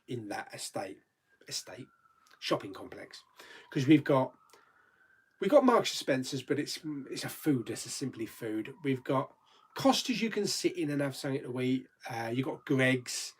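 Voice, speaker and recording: A man's voice: mid-range voice, slightly rough. A man hosting a casual live chat, rambling and reading out viewer comments, laughing easily and swearing mildly.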